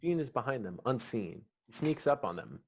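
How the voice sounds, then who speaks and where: A man's voice: medium pitch, big pitch swings, moderate pace, breathy edge. Terse male script narrator, slightly dull audio. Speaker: stage-direction narrator.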